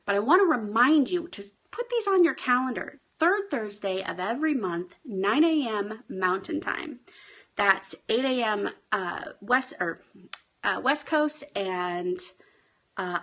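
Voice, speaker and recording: A woman life coach talking casually on a home live stream, a bit hoarse and often checking in with her viewers. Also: medium pitch, pushed and bright. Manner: chatty, often asks "right?". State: hoarse, clearing her throat. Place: at home with pets.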